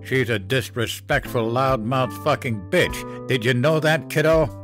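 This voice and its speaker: A man's voice: mid-range voice, unhurried, over music, pitched above the performer's own voice, natural voice. A man doing a drunk, growling bitter father. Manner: slurring, growling, sneering.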